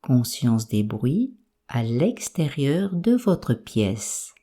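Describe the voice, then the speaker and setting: A woman guiding a yoga nidra relaxation, giving calm, soothing, measured instructions with pauses. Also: rumbling low. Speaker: meditation guide.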